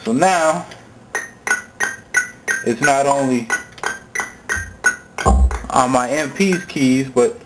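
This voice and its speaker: Guy w mid-pitched voice doing a laid out hands-on gear tutorial, informal slang, loud sampler music behind him. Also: extremely slow, long pauses, rough recording. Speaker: tutorial presenter. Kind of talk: casual hands-on gear demonstration. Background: sampled music loops and instrument sounds.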